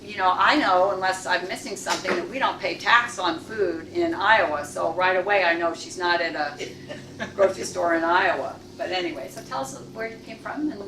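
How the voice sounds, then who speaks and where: A woman's voice: fairly low pitch, normal speed. A woman in her sixties or older, hosting a club meeting and asking chatty, joking questions. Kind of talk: casual onstage interview. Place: meeting room. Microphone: far camera. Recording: echoey room, far off, background noise.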